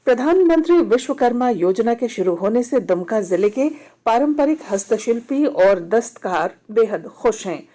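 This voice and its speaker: A woman's voice: mid-range voice, mellow. A woman with an Indian accent reading a formal, scripted radio news bulletin.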